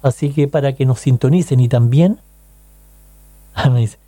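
Man, Chilean accent, radio host, deep warm timbre, casual chat with listeners, dry booth sound. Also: measured pace, dry recording. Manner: chatty, rhetorical questions, frequent "ya?" tags.

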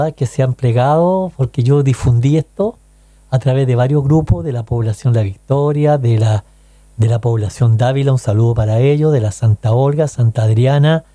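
Man, Chilean accent, radio host, deep warm timbre, casual chat with listeners, dry booth sound. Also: no echo. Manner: chatty, rhetorical questions, frequent "ya?" tags.

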